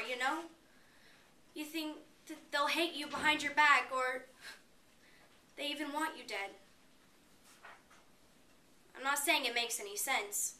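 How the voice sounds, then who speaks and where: A woman's voice: high-pitched, bright, forceful tone. A woman performing a dramatic stage monologue as a despairing, bitter young college student, emotive and confessional. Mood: anguished, intense. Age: young adult. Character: despairing suicidal college girl, bitter and pleading. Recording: roomy, distant mic, faint noise.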